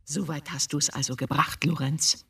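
A woman's voice: deep voice, fairly even intonation, moderate pace, husky, far from the mic, exaggerated voice. An actress playing a stern, reproachful, domineering mother of a grown son in a radio drama. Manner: severe, accusing.

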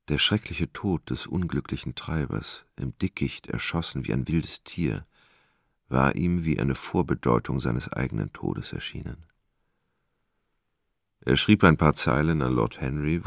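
Very deep, gravelly male narrator. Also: no echo, clean studio sound. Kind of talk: literary radio drama narration. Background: music.

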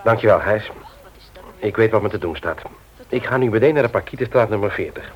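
A man acting an earnest company secretary in a radio drama. The voice is fairly low and lively in pitch, with polite, reassuring phrasing and a muffled vintage sound. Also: brisk, close to an everyday voice. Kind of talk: Dutch radio play acting.

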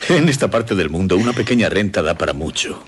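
A man's voice: sits low, animated, a little fast, slight background noise. A man playing a contented, dignified father in a radio drama. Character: contented, dignified father of a modest castle household. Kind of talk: theatrical radio drama acting.

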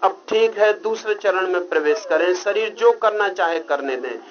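A man's voice: high-pitched, animated, normal speed. A man leading a live active meditation, urging the group on with short, repeated, urgent commands. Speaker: meditation leader. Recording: dull noisy live recording, loud crowd behind. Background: noisy crowd of participants.

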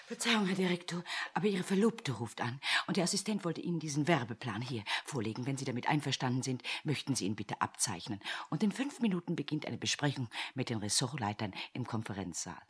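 An actress playing a cheeky yet deferential secretary in a radio play, with a breathy, husky voice. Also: female voice, extremely low pitch, animated, quick pace, hardly any pauses, exaggerated voice. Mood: apologetic, businesslike. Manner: deferential with a teasing edge. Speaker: actor.

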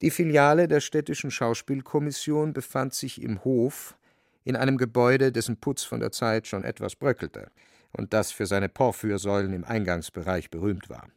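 A man's voice: mid-range voice, fairly even intonation, normal speed, creaky, dry recording, studio quality. A man narrating a literary radio play from a script.

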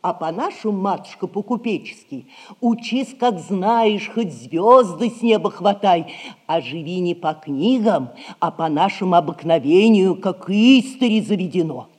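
A woman's voice: fairly low pitch, lively intonation, unhurried, darkish tone. A humble, worried mother of the townsfolk. She tells her woes in a plaintive, folksy stage manner. The recording has long hall reverb. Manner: folksy, lamenting storytelling. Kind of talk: theatrical 1960s audio play.

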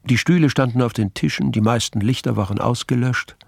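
A man's voice: medium pitch, a little fast, gravelly, no echo, clean studio sound. A man narrating a literary crime radio play. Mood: measured. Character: male narrator of a crime story.

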